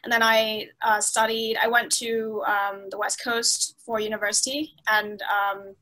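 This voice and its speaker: A woman's voice: on the high side, little pitch movement, unhurried, pushed and bright, rough recording. A woman in her twenties from Thailand, a guest on a video call, answering at length with fillers and restarts. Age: 20s. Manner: many fillers and restarts, thoughtful. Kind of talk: conversational interview answers. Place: small indoor room. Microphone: laptop webcam on a video call.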